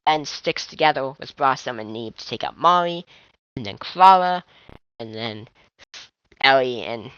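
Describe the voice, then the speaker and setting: A young man with a high, strained and tired voice, rambling casually as a fan commentator with restarts and swearing. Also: bright, forceful tone, no room sound. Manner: chatty, swearing, trailing restarts. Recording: dull lo-fi dry mic.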